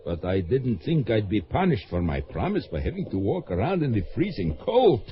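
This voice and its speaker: A man's voice: lowish voice, very animated, moderate pace, slight background noise. An actor playing a worried elderly Russian father in a radio drama, hesitant and stammering, on a dull lo-fi recording. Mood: fretful. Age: older. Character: worried old Russian father, anxious and protective. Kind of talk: old-time radio drama acting.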